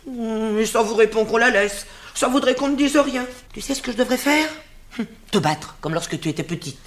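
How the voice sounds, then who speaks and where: A woman's voice: normal speed, a little hiss or hum. An actress voicing an old royal nurse who scolds and dotes on her charge, in a stage drama. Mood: indignant. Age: older. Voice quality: lively scolding voice, swinging high and low.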